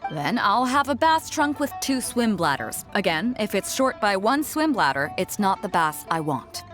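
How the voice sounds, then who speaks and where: A bold, lively-voiced fleet captain. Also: female voice, medium pitch, moderate pace, faint music behind. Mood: deadpan, demanding. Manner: commanding, assured, teasing. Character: bold, confident woman captain of an armed pirate fleet. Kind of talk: video game character acting.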